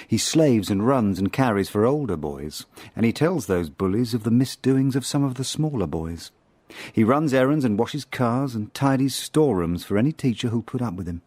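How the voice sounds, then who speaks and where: Radio drama narrator: wry, haunted male English spy, low-pitched, close and dry, ironic, literary delivery. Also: lively intonation, moderate pace, a little mellow, no room sound. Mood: bitter irony.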